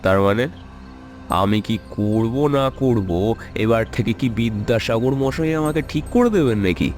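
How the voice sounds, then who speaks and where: Man playing a slow, lordly village landlord. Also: mid-range voice, a little mellow, faint music behind, natural voice. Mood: indignant. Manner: lordly, drawling. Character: scheming, self-important middle-aged male village landlord. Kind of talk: cartoon voice acting.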